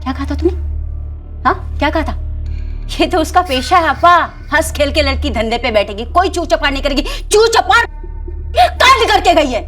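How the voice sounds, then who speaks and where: A high-pitched, commanding madam from a television crime drama. She threatens and orders people about imperiously, ruthless throughout. Faint music plays behind her. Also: expressive, normal speed, a bit bright. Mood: menacing. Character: ruthless female brothel madam, commanding and menacing. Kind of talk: Hindi TV crime drama acting.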